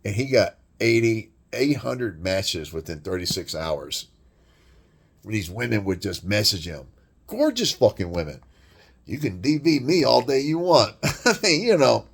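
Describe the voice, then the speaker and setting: A man with a deep voice delivering a blunt, crude, rambling monologue rant full of swearing. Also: sing-song, unhurried, vocal fry, breathy edge. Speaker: vlogger.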